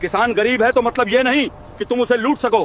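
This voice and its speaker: A man playing a commanding, protective army officer, authoritative and indignant, in a scripted animated moral story. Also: very high-pitched, brisk, slight background noise. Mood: stern. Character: commanding male army officer, stern protector of his men.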